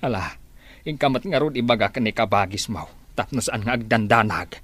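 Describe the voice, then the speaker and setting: A man acting a concerned, steady family man in an Ilocano-accented radio drama. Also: on the low side, a little fast, no room sound.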